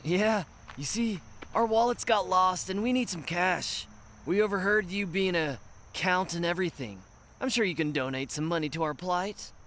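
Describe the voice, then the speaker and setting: A man playing a pushy young thug who demands money, loud, brash and crude. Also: high voice, moderate pace, a little hiss or hum. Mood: swaggering.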